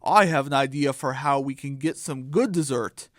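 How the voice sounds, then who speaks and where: A narrator reading a scripted comic radio drama in a deliberate storybook manner. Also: medium pitch, fairly bright tone, dry recording, clean studio sound.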